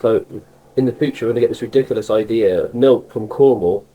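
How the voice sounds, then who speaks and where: A man with a Cornish accent chatting casually, a little halting and repeating words as he gets going. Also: fairly low pitch, narrow, tinny audio. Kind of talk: casual conversation.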